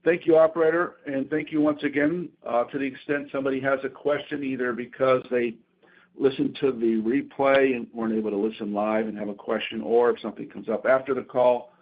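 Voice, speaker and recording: A man reading prepared company results on an earnings call in a formal, measured, businesslike way. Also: medium pitch, steady tone, slight background noise. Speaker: company executive presenting quarterly results. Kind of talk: prepared remarks read from a script on an earnings call. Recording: thin, tinny phone conference line.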